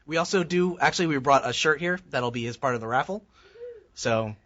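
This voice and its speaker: A man from the audience making a loud, direct job announcement at a meetup. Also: fairly high pitch, expressive, fairly quick. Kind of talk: brief recruiting pitch. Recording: dull lo-fi audio.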